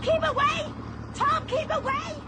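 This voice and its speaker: A woman's voice: very high-pitched, fairly even intonation, unhurried, heavily acted. An actress playing an anxious, urgent female accomplice on the run in a radio crime drama. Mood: panicked. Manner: urgent, fretful. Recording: loud engine and helicopter noise.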